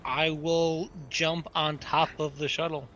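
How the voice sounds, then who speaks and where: A man's voice: on the high side, little pitch movement, slow pace, muffled recording, music in the background. A man chatting casually as a player at a tabletop roleplaying game.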